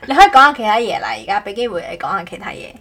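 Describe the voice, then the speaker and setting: A woman co-hosts a casual podcast, chatting haltingly and often correcting herself. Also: medium pitch, lively intonation, heavy background noise. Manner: halting, repeats words, self-correcting. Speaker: podcast host. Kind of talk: casual co-hosted podcast chat.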